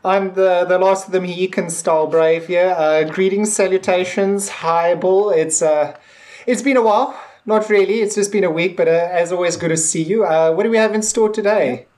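A man co-hosting a podcast, chatting casually and haltingly, repeating words as he forms his thoughts. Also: high-pitched, fairly even intonation, a little mellow. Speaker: podcast co-host. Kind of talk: casual episode discussion.

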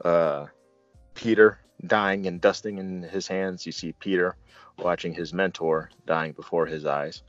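A man hosting a casual movie discussion, retelling scenes in an earnest, halting, colloquial fan's way. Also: low-pitched, fairly even intonation, no echo. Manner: halting, earnest fan talk with colloquial asides. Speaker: movie reviewer and show host. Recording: dull lo-fi audio with faint music. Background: faint music.

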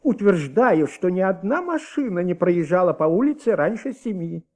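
Anxious fussy husband witness, high voice that jumps around, hesitant, repeats himself, soviet radio play. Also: male voice, big pitch swings, moderate pace, a little mellow. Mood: hesitant, earnest. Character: anxious, sleepless husband, talkative male witness. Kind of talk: Soviet radio drama acting.

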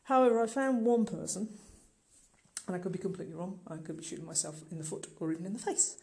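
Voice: medium pitch, animated, fast, gravelly, darkish tone. A middle-aged podcast host speaking informally and directly to the listener, earnest and emphatic. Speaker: podcast host and online marketing business owner. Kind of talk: informal solo podcast monologue.

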